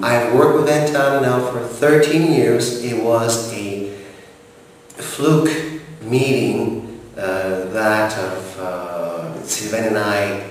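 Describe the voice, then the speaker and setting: A French-speaking man from Montreal in his forties, answering interview questions in a formal, measured way with frequent hesitations. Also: fairly low pitch, recorded from a distance, a little hiss or hum. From Montreal, Canada. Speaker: director of operations. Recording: echoey room, voice from off-mic with faint ambience.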